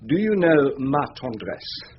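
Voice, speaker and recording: A smarmy, middle-aged upper-class English barrister for radio, pompous and eager to flatter. His lightish voice swoops extravagantly as he gushes. The recording is a little dull. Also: on the high side, a little hiss or hum. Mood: oily flirting. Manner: oily, gushing, drawn-out flattery. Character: flirtatious, pompous middle-aged male barrister, smooth and anxious. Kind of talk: British radio comedy-drama acting.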